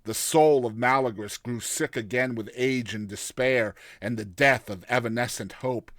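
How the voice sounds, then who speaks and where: A man narrating a classic fantasy story in a solemn, theatrical and deliberate style. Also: medium pitch, fairly bright tone, no echo, clean studio sound. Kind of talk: dramatic scripted story narration.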